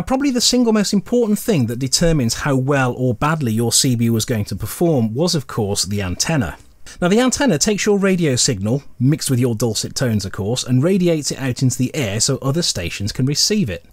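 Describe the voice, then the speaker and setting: A man's voice: medium pitch, dry recording. A middle-aged British man giving a chatty, scripted retrospective narration with wry, colloquial humour. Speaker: presenter.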